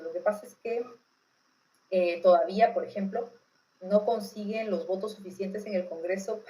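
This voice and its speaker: Mellow-voiced Peruvian woman explaining. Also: medium pitch, poor audio. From Peru. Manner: didactic, often checking understanding with tag questions. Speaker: political expert being interviewed. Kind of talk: informal explanatory interview answers.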